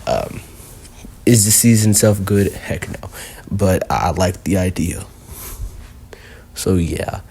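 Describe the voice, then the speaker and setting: A man talks through a casual countdown in a deep voice with a rough, husky edge. He rambles, loses his thread and keeps leaning on fillers. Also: takes it slowly, long pauses, dark tone. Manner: rambling, heavy on fillers like "you know". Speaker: video host.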